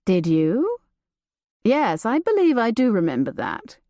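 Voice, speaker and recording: A woman voicing a reserved young gentleman, curt and dry. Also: a little high, wildly swooping pitch, a little slow, no room sound, heavily acted.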